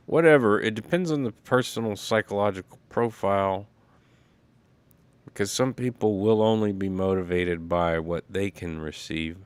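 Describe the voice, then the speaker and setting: A man hosting a casual solo livestream, talking in a slow, rambling way with many fillers, a little congested. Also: low-pitched, clean tone, dry recording. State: congested after illness. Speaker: livestream host.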